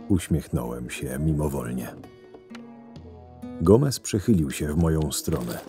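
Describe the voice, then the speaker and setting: A man playing a shrewd, sardonic right-hand man to a camp boss, narrating a fantasy audio drama in a measured way. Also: rumbling low, lively intonation, mellow. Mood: sardonic, reflective. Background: music.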